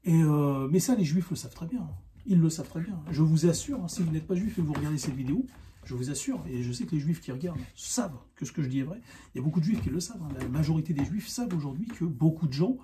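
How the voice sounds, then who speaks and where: A man giving an unscripted, argumentative opinion monologue, insistent and halting, often repeating himself. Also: a little high, animated, warm tone, slight background noise. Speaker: online video commentator.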